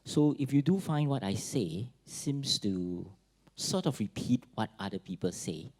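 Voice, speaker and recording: A man with a Singaporean accent giving a public talk at a forum, pausing often with fillers and restarts. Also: medium pitch, animated, a little mellow, slightly rough. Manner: halting, many fillers and restarts. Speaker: forum speaker.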